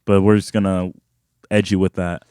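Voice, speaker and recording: A man's voice: deep voice, flat delivery, normal speed, warm tone, clear, resonant tone, no echo, studio quality. A young adult man talking casually as a podcast co-host. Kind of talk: casual chat.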